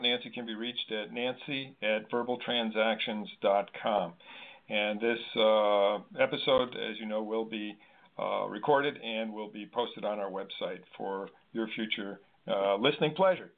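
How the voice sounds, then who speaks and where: Phone-line male talk show host, halting. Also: fairly low pitch, fairly even intonation. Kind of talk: conversational talk show hosting. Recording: thin phone-line sound.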